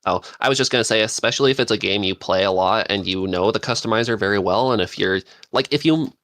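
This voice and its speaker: Male panelist, low register, dry recording, casual and enthusiastic podcast chat. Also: fast talker, a bit bright, no room sound.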